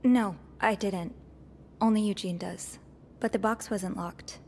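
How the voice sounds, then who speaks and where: An actress voices a young hotel maid in a narrative video game, a woman. She is earnest but nervous, and her delivery is halting and natural. A faint ambient noise sits behind the voice. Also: medium pitch, darkish tone, close to an everyday voice. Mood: nervous, guarded. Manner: hesitant, halting.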